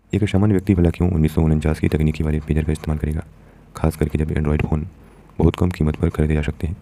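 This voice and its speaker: Indian man, very low pitch, fairly flat intonation, didactic news explainer, reading commentary. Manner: didactic, addresses listeners directly as friends. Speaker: presenter of a news explainer channel.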